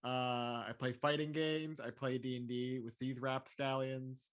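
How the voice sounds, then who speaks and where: A man's voice: medium pitch, fairly even intonation, moderate pace. A man playing in a tabletop game, introducing himself with dry, joking humor. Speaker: player. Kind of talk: casual chat.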